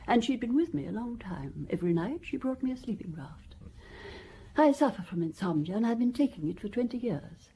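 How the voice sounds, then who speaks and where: Dainty old lady, genteel widow, earnest, precise, mellow, highly expressive, audio drama. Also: brisk, a little hiss or hum, rough recording. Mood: grave, recounting. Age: older. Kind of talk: radio drama acting.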